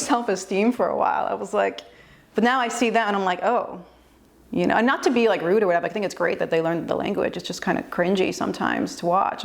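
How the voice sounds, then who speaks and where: A woman's voice: medium pitch, gravelly. A young woman, a native English speaker, giving candid beginner tips in a chatty vlog, laughing easily and using many fillers. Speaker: vlogger and language tutor. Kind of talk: casual advice vlog.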